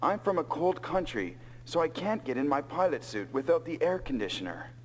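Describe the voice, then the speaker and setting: A man's voice: a little high, big pitch swings, normal speed, a little hiss or hum. An actor playing a big, easygoing male soldier pilot in an anime-style English video game dub.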